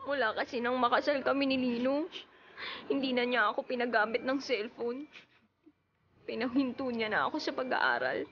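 A woman's voice: on the high side, fairly even intonation, moderate pace, a little hiss or hum, close to an everyday voice. A woman acting a young wife kept at home by a jealous husband, pleading and tearful, in a radio drama. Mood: hushed sorrow. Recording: lo-fi radio broadcast with music.

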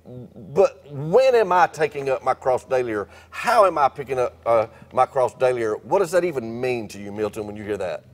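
Middle-aged pastor guy on a handheld mic outside, earnest, encouraging, average pitch. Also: sing-song, normal speed, hardly any pauses. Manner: earnest, encouraging, repeats words. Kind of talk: leading a devotional panel. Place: outdoor stage by a wooden fence.

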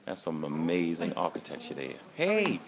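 Man, 40s, deep-voiced, excited self-filmed factory tour, phone mic, busy crowd noise. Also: moderate pace. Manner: excited, enthusiastic, exclamatory. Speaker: vlogger touring an instrument factory. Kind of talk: casual walk-and-talk vlog. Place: large indoor factory hall and showroom. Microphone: phone held at arm's length. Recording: phone recording amid workshop crowd noise.

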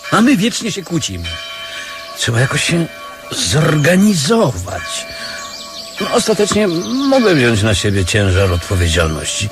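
An actor playing a wily, pompous church beggar in a radio comedy, declamatory, wheedling and mock-pious. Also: male voice, medium pitch, wildly swooping pitch, unhurried, music in the background. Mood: pompous, conciliatory. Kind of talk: radio comedy play acting.

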